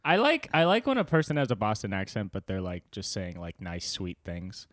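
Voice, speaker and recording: A man's voice: on the low side, lively intonation, normal speed, fairly bright tone, dry recording, studio quality. A young man co-hosting a comedy podcast, cutting in with crude, sardonic teasing. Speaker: podcast co-host. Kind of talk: casual podcast banter.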